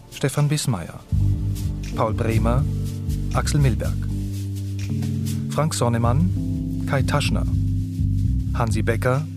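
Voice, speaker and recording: A man announces radio drama credits formally and very slowly. His pitch is average. Loud guitar music plays behind him. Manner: deliberate, formal.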